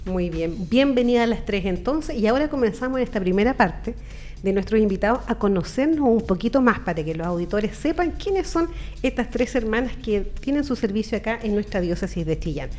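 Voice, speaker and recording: A woman's voice: fairly high pitch, expressive, brisk, darkish tone, slight background noise. A Chilean woman hosting a radio program in an informal, chatty, friendly interviewing style. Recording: music bed under the voice. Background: background music.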